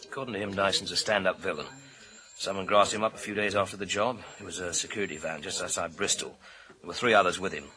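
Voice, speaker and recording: A hard-driving British detective inspector in a radio crime drama. His voice is low and hard-edged, and he talks in blunt, commanding copper's slang. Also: fairly even intonation, quick pace, pushed and bright, low-quality recording. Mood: businesslike. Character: driven, blunt male police inspector leading a murder hunt. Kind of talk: radio thriller acting.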